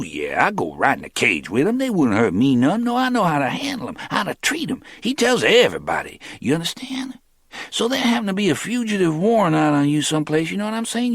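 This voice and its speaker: High fast-talking street hustler, clipped, swoopy, dry close sound. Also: male voice, dry recording, full character voice. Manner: quick clipped street talk, animated storytelling. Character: streetwise young man, quick, clipped, boastful hustler. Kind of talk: audiobook character reading.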